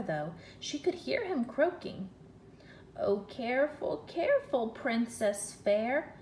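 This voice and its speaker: A woman teacher reading a children's story aloud in an expressive, deliberate, questioning way. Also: medium pitch. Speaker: first-grade teacher. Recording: echoey room with faint noise.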